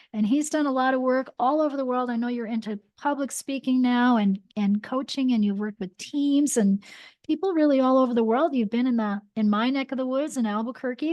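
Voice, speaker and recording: An enthusiastic woman hosts the interview, her voice a little higher than most. She introduces her guest warmly and fills his pauses with encouraging murmurs, recorded close and dry. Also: no room sound. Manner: enthusiastic, encouraging, many affirming "mm-hmm"s. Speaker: podcast host. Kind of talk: interview host introduction and chat. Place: Albuquerque area.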